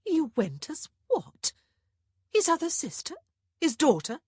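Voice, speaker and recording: A woman's voice: high voice, wildly swooping pitch, a little slow, a little mellow, dry recording, clean studio sound, voice pushed up, full character voice. A woman voicing a dramatic, fretful and protective married sister in a storybook, moaning and exclaiming. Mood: appalled.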